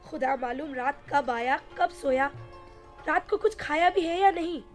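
A woman's voice: high-pitched, soft background music. An actress playing an exasperated mother of a grown son, scolding and nagging in a radio play. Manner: nagging, drawn-out complaining.

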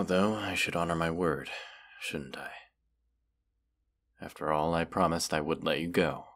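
A man voicing a proud, mighty dragon in a fantasy roleplay, haughty and formal in manner. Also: extremely low pitch. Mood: grudgingly grateful. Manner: haughty, deliberate, formal phrasing with menacing undertone. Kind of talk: fantasy roleplay audio acting.

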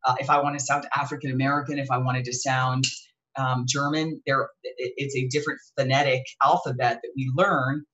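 A woman hosting an interview show, with a deep, dark voice, talking in a chatty way full of restarts and self-corrections, seated on a couch at home in front of a webcam. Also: level tone, fairly quick, highs cut off. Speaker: show host. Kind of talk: casual interview talk. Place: living room, indoors.

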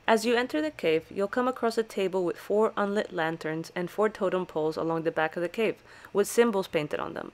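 A woman reading scripted, step-by-step tutorial narration for a video game guide. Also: lowish voice.

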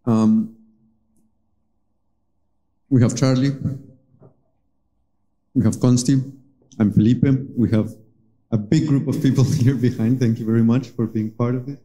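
A man in his thirties from Colombia, speaking into a stand mic in a small cafe as he introduces a livestream jam, earnest and pausing often to find his words. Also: mid-range voice, fairly even intonation, mellow, rough recording. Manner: earnest, searching for words with many pauses. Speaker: musician hosting a livestream jam. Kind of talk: casual spoken introduction to a livestream. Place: small cafe with stage, indoors. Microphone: vocal mic on a stand.

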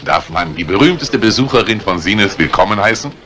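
An actor playing a jovial, gallant and wry police inspector in a 1950s radio drama. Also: male voice, lowish voice, expressive, brisk, pushed and bright.

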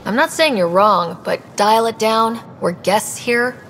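A woman playing a young, calm and direct space explorer in video game dialogue. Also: fairly high pitch, measured pace, slight background noise. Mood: calm, firm. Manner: straightforward, calm.

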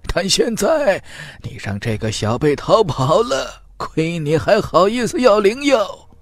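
A proud, hot-headed old fire master, pitched high and swooping wildly. He drags out his words with scorn and outrage, as in an audiobook fantasy tale. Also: no room sound, heavily acted. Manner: drawn-out, scornful, incredulous outbursts. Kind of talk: audiobook character acting.

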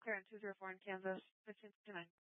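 Dispatcher for emergency radio traffic, monotone and clipped, dry lo-fi radio sound. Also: racing, no echo. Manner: clipped, procedural. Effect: police radio. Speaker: police dispatcher. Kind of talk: radio dispatch, reading addresses and codes. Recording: muffled low-fi police radio audio.

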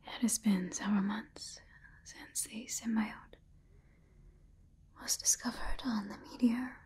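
Calm female sci-fi scientist acted by a young woman, dark breathy whisper, halting clinical dictation, ASMR roleplay. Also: on the high side, heavily acted. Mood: clinical, hushed. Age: 20s. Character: calm, clinical female scientist examining an alien-infected patient.

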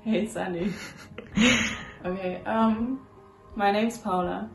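A woman's voice: mid-range voice, fairly even intonation, slow pace, vocal fry, roomy sound, soft background music. A woman in her twenties from Germany, a student introducing herself in a bubbly way. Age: 20s. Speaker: exchange student. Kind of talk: casual interview answers.

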